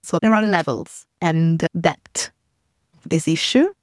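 A woman's voice: low-pitched, a little slow, dry recording, studio quality. A woman guest explaining her migrant support service in a reflective interview. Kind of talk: thoughtful interview talk.

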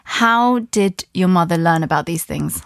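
A woman hosting a studio podcast interview, asking questions in a friendly, measured way. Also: on the low side, animated, gravelly, no echo. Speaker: podcast host.

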